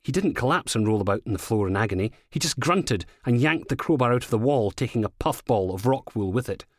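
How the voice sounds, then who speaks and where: A man's voice: mid-range voice, expressive, fairly quick, dry recording, clean studio sound. A Scottish man reading a scripted crime novel as its narrator. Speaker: author reading own audiobook.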